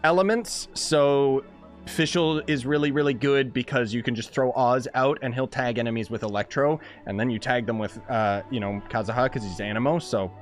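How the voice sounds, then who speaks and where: A man's voice: medium pitch, normal speed. A young man giving casual, rambling live commentary while he plays a game and reacts to it. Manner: chatty, rambling, reacts with laughs. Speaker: game streamer. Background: game music.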